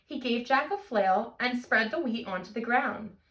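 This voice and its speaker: Bright-voiced woman reading storytime, roomy echo. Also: fairly high pitch, moderate pace, far from the mic. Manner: animated, expressive teacher talk with simple questions and explanations. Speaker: teacher hosting a children's storytime. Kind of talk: read-aloud of a picture book for young children.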